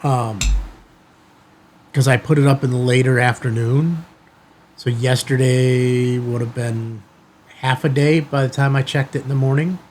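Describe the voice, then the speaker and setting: A man's voice: medium pitch, steady tone, a little slow, long pauses, darkish tone, a little hiss or hum. A man hosting a casual product review vlog, talking conversationally and emphatically in short bursts. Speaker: YouTube channel host.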